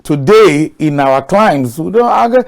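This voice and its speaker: A man's voice: on the high side, expressive, unhurried, vocal fry. A man with a Nigerian accent, speaking as a guest on a talk show in an emphatic, repetitive way with folksy phrasing.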